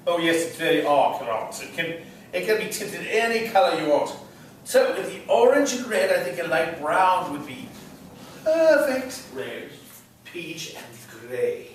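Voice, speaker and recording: A man's voice: a little high, sing-song, measured pace. A man reading the part of a pompous, opinionated interior decorator in a play, in an echoey room. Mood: fussy, assured. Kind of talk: script read aloud at a play reading. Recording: echoey room, far from mic, faint noise.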